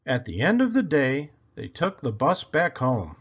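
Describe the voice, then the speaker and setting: A man reading a picture book aloud to children, expressive and deliberate in his phrasing. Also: medium pitch, slightly rough, highs cut off.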